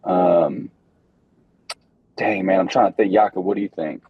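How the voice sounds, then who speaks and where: A man's voice: deep voice, unhurried, breathy edge, no room sound. A young man, a band member guest, chatting casually and rambling with lots of fillers. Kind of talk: casual interview chat. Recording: dull lo-fi sound.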